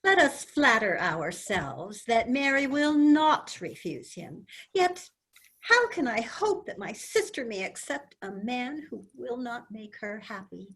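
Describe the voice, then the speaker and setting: A woman's voice: fairly high pitch, very animated, takes it slowly, fairly bright tone, slightly rough, exaggerated voice. A woman reading the part of a doubtful young sister, in a dramatic reading over a video call.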